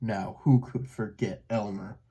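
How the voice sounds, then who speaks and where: A man's voice: fairly low pitch, fairly even intonation, unhurried, mellow, slightly rough, voice pushed down. A man voicing a smug, mocking male duck who leads his flock in a storybook. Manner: sneering, chuckling.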